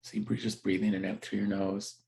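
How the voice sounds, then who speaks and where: Man gently guiding a meditation. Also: lowish voice, monotone, gravelly. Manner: gentle, unhurried, halting with pauses and self-corrections. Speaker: meditation teacher. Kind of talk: guided meditation instruction, conversational.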